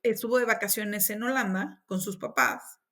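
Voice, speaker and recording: A woman's voice: medium pitch, moderate pace. Chatty Mexican woman telling her story. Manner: lively, acts out quoted dialogue in her telling. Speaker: interview guest. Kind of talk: casual conversational storytelling.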